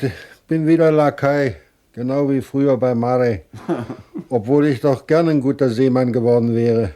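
An actor playing a hapless, self-pitying pirate lackey in a radio play, plaintive, stammering and servile. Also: male voice, mid-range voice, dark tone, lightly acted. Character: whining, hapless pirate lackey, sorry for himself.

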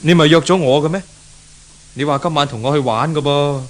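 A man acts out a spurned young boyfriend with a Hong Kong accent and a fairly high voice. He sounds meek, polite and pleading, in the style of 1970s Cantonese radio drama. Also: brisk, natural voice. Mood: eager, puzzled.

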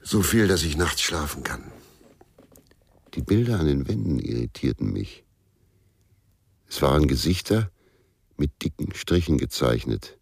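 Gravelly, very deep-voiced brooding ex-cop. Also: male voice, sing-song, a little slow, long pauses, full character voice. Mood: weary, defensive. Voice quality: gravelly, husky. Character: brooding, short-tempered ex-policeman, drinker, middle-aged man. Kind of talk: German radio crime drama, inner narration and dialogue.

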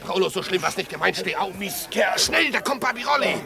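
An agitated, gravelly accomplice. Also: male voice, high-pitched, animated, a little fast. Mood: urgent, cursing. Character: agitated, cursing male accomplice of a bomber. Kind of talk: 1950s German radio play acting. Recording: 1950s radio recording, crowd behind.

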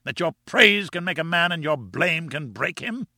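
A man voicing a furious, bullying professor in an audiobook, pompous and menacing, with a rumbling, booming delivery. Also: lively intonation, normal speed, gravelly, pushed and bright, no echo, studio quality, heavily acted. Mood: raging contempt. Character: short, barrel-built furious professor, bullying and contemptuous. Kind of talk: audiobook character acting.

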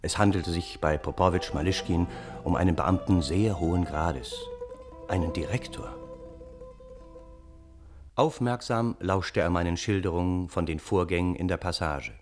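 Man acting a low-voiced earnest gentleman, music behind. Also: moderate pace, close to an everyday voice. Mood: measured narrating. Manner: formal, earnest, urgent. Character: earnest, anxious gentleman narrator pleading for his trapped friend. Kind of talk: German radio play narration and dialogue.

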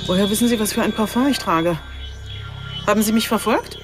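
An actress playing a composed, firm investigator in a German radio crime drama, speaking in a controlled, earnest way. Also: female voice, mid-range voice, a little fast, over music, natural voice. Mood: tense, urging. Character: composed, firm female investigator handling a killer.

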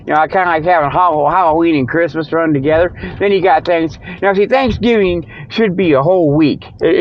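A man hosting a comic show in a rambling, folksy monologue, digressing freely and given to chuckling at his own jokes. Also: on the high side. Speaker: host of a comic Sasquatch-hunting show. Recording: dull, lo-fi.

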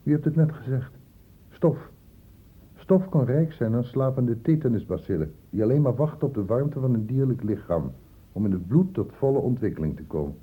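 A thin, phone-like radio drama recording carries an earnest, worried male doctor. His husky voice swings widely in pitch as he speaks with grave, humane emphasis. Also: lively intonation, normal speed. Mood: urgent.

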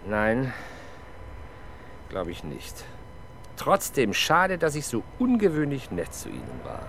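An actor playing a dry, wry police inspector who gives clipped orders in a 1970s German radio crime drama. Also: male voice, medium pitch, wildly swooping pitch, slow pace, vocal fry, heavily acted. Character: wry male police inspector, brisk and commanding. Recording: noisy radio drama scene, street ambience.

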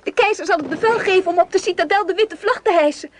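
A woman's voice: very high-pitched, fast talker. An actress playing an earnest, urgent young girl messenger in an old radio drama.

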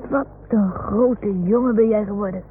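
A woman playing a small, earnest boy in an old radio drama, speaking slowly in simple childlike phrases. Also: medium pitch, natural voice. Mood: dreamy, trusting. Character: small earnest boy talking to his toy dog. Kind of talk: 1960s Dutch radio drama acting. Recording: noisy, muffled old radio recording.

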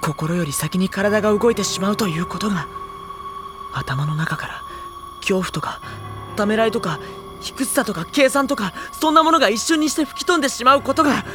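A man plays a sensitive high-school boy with a youthful, high voice. He is earnest and anxious, telling his own story as audio drama, with clear music behind him. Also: moderate pace, lightly acted. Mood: pained, earnest. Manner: earnest, introspective. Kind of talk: dramatic first-person narration and dialogue.

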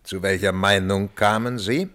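Terse examining counsel, formal, inquiring, fairly low pitch, courtroom radio drama. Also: male voice, fairly even intonation, unhurried, fairly bright tone. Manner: brief, formal questioning. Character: terse, inquiring male counsel questioning a witness. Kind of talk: radio play courtroom acting.

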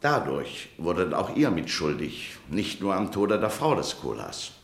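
Slow, low-voiced courtly official. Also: male voice, very animated, exaggerated voice. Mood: accusing. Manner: measured, courtly, formal. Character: dignified, frank male court official advising his prince. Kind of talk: German radio play acting. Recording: echoey room.

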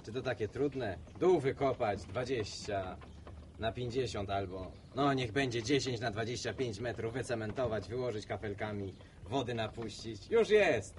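A man's voice: lowish voice, moderate pace, a little hiss or hum, close to an everyday voice. A man playing a self-assured, talkative young townsman in a radio drama, explaining things earnestly with a touch of boasting. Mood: enthusiastic. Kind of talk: Polish radio serial acting.

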